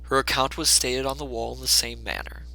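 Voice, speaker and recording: A man reads a classic story aloud as its narrator. His mid-pitched voice is very crisp-edged and forceful, with gravelly creak, and the delivery is dramatic and scripted. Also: fairly even intonation, a little fast, no echo, poor audio.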